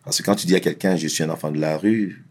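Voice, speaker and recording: A man who grew up in France, telling his life story in a relaxed, slangy, candid way as an interview guest. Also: a bass voice, fast talker.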